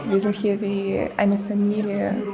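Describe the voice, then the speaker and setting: A woman's voice: medium pitch, monotone, vocal fry, a crowd in the background. An eighteen-year-old woman from Ukraine, speaking hesitantly with many fillers in a documentary interview. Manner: hesitant, many fillers, slow and searching for words. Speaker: interviewee, dancer.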